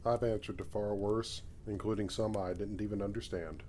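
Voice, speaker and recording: Man voicing dark-toned, laconic space miner. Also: on the low side, fairly even intonation, normal speed, slight background noise, natural voice. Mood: dry, measured. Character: laconic, modest male miner turned space explorer. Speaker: player character, new recruit. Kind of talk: video game character dialogue.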